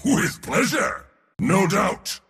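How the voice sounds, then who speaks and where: An actor voicing a proud, pompous god of war for a video game, with a theatrical, commanding military delivery. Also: male voice, high voice, sing-song, extremely slow, vocal fry, fairly bright tone, in a large hall, exaggerated voice. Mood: boastful. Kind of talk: video game hero voice lines.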